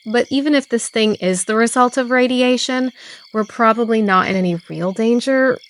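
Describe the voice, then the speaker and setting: A woman's voice: fairly high pitch, normal speed, natural voice. A woman playing a practical, level-headed small-town sheriff in an audio drama, thoughtful and matter-of-fact. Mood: uneasy, decisive.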